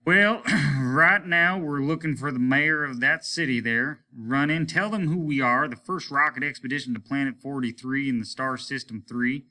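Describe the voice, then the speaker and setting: A man reading a story and voicing an irritable, weary, aging rocket captain who is commanding and scornful, snapping out indignant questions in a sharp voice. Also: medium pitch, normal speed, voice pushed up, poor audio. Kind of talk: audiobook character voice.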